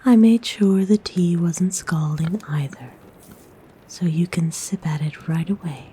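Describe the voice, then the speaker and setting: A woman playing a kind, motherly forest witch in a soft, breathy, gentle whisper for an ASMR roleplay. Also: lowish voice, a little hiss or hum, close to an everyday voice. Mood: soothing, tender. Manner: gentle, soothing, coaxing, unhurried. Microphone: close microphone.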